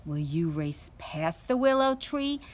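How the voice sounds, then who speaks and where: A woman's voice: fairly low pitch, very animated, slow, pitched below the performer's own voice. A woman voicing a boastful, overconfident male hare in a children's storybook, with a smug, breezy manner. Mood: challenging.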